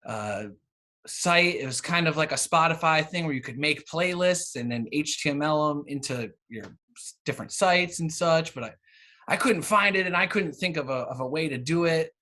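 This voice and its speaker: A man's voice: fairly high pitch, lively intonation, moderate pace, sharp tone. A man in his thirties being interviewed in a casual, conversational way, with hesitant, rambling phrasing. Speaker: interview guest, cassette exchange organiser.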